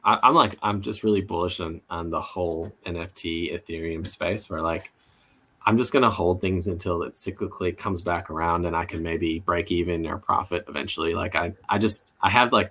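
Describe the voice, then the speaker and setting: A man's voice: deep voice, steady tone, brisk, sharp tone. A man with a Midwestern American accent mixed with some Australian, chatting casually as a podcast guest. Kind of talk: casual chat.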